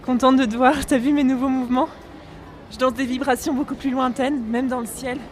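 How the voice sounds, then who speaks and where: A woman's voice: high voice, fairly quick, background noise, close to an everyday voice. A woman acting an enthusiastic, chatty dancer in an audio drama dialogue. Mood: excited. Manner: excited, chatty. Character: enthusiastic woman dancer who senses distant vibrations. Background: music.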